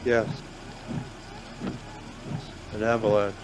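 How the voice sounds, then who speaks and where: A man's voice: little pitch movement, extremely slow. An actor playing a numb, guilt-stricken man traveller in a radio play, speaking in a hesitant, subdued way. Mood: numb, shocked. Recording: background noise with car rumble.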